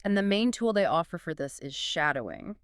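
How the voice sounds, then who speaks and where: A woman's voice: lowish voice, sing-song, a little fast, clear, resonant tone, dry recording, studio quality. A woman co-hosting a podcast in an eager, scripted conversational style, leading each segment. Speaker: podcast co-host.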